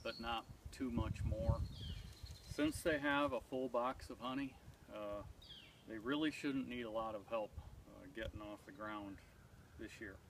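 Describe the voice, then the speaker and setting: A man's voice: fairly low pitch, background noise. Man explaining beekeeping from a distant camera. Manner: plain, methodical explaining with frequent "uh" pauses. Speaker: beekeeper vlogger. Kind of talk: casual demonstration. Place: outdoors in leafless spring woods. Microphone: far camera on a tripod. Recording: distant outdoor mic with clear background ambience.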